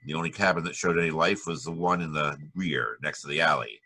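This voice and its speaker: Brisk, wry male gumshoe, bright forceful edge, low pitch, old-time radio crime drama. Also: husky, exaggerated voice. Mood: narrating, tense. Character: wisecracking hard-boiled male private detective, brisk and wry. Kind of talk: 1940s radio detective drama acting and narration.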